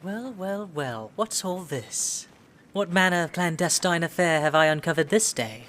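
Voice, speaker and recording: An actor playing a haughty, mocking older brother in a period audio drama, with pompous, archaic diction. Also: male voice, on the high side, animated, moderate pace, a bit bright, a little hiss or hum. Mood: mocking, menacing.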